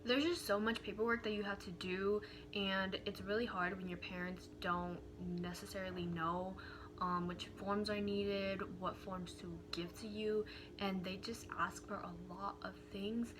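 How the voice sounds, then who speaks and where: A woman's voice: mid-range voice, steady tone, unhurried. A young woman student giving a candid, casual account, with frequent filler words. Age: young adult. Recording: noticeable background noise.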